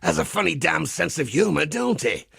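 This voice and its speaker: Casting: zealous game crusader, foul-mouthed and grimly cheerful, husky gravel, animated delivery, fairly high pitch. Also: male voice, moderate pace, dry recording, studio quality, heavily acted. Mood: wry. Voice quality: gravelly, rasping. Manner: preacherly, blunt, wry, archaic turns of phrase. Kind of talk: theatrical video game character dialogue.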